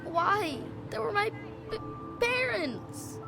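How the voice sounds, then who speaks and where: A woman's voice: sits high, expressive, slow pace, exaggerated voice. An actress playing an earnest, frightened little boy in an audio drama. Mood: distraught. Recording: music behind the voice.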